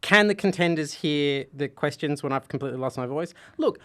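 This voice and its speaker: A man chatting on a podcast. He talks fast and staccato and tells stories with animation. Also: on the high side, sharp tone. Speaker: podcast guest, quiz show host. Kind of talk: casual chat.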